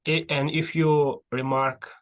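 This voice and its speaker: A man's voice: fairly high pitch, little pitch movement, slow pace, fairly bright tone. A man who is not a native English speaker hosts an online speaking club on a video call, talking hesitantly with many fillers and restarts. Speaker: club host. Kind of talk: casual online conversation and reading aloud.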